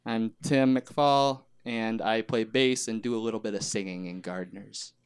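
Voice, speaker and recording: Very clear, smooth American man. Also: lowish voice, fairly even intonation, moderate pace. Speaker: band bassist, guest. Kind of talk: casual chat.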